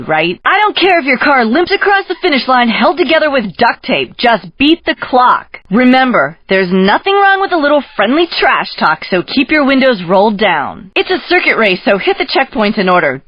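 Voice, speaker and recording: A woman's voice: sits high, dry recording. A woman voicing a sassy, bossy, trash-talking race coach in a video game, punchy and teasing. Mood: brisk, cocky. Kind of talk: video game character quips. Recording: slightly dull compressed game audio.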